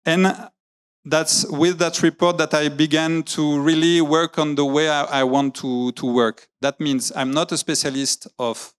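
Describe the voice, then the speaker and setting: A man's voice: on the high side, level tone, unhurried, clear, resonant tone. A man giving a talk in English, hesitating often, searching for words and restarting his sentences. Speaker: graphic novelist giving a talk. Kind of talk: public talk with slides. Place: lecture venue.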